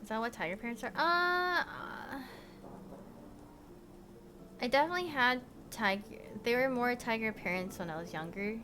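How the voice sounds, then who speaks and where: A young woman born in Korea, chatting casually to stream viewers from her bedroom, rambling with lots of "like" and "you know" and trailing off softly. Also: on the high side, expressive, moderate pace, pauses often, background noise. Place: bedroom at home.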